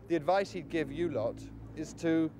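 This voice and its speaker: A man's voice: fairly high pitch, normal speed, dark tone. A man working as a television reporter, putting questions to people in a street interview. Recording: archive TV street recording, crowd noise.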